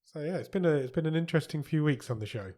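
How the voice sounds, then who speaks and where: A man with a slightly husky voice, co-hosting a casual podcast chat and often finishing his partner's sentences. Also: a little high, expressive, rapid-fire, dark tone. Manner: chatty, echoes and finishes his co-host's lines. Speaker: podcast co-host.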